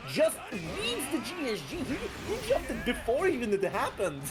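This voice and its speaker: Man, esports play-by-play caller, lively swooping pitch, excitable outbursts. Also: moderate pace. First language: not French. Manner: excitable, with sudden loud outbursts at big plays. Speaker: esports commentator. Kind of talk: fighting game play-by-play commentary.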